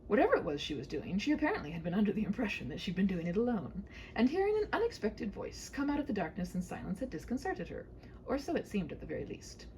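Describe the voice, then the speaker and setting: A woman's voice: mid-range voice, big pitch swings, quick pace, darkish tone, slight background noise, rough recording. A woman reading a humorous story in a whimsical, mock-formal way, with wry asides.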